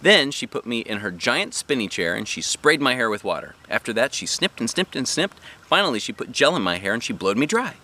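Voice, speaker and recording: A man reading a children's book aloud as a dad, with expressive, animated narration and put-on character voices. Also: mid-range voice, a little fast, few pauses.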